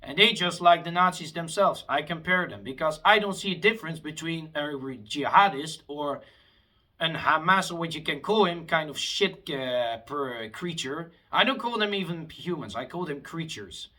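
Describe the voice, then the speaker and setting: A man's voice: on the high side, animated, moderate pace, sharp tone. A man, delivering a heated, rambling vlog monologue full of restarts. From Afghanistan. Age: 20s. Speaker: vlogger.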